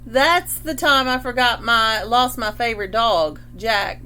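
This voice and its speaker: A woman's voice: fairly high pitch, unhurried, bright, forceful tone. A woman voicing a fond old grandfather reminiscing in a storybook read-aloud, with a noisy hum.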